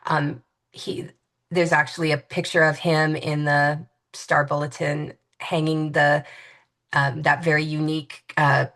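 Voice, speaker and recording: A woman in her fifties from Hawaii speaks as an interview guest with a low voice. She talks in a reflective, halting way, often pausing on fillers and restarting her sentences. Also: flat delivery, fairly bright tone. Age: 50s. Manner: hesitant, many fillers and restarts.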